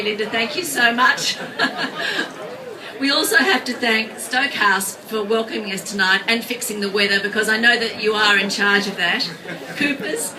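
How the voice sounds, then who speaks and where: A woman hosting a live restaurant event, chatty and lightly laughing, with filler ums. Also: a little high. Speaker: event host. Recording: distant mic, crowd noise.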